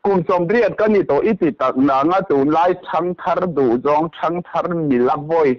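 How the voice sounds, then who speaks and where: A man's voice: fairly high pitch, a little fast, dry recording. A man talking casually as a caller on a prank phone call. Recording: dull, lo-fi phone call audio.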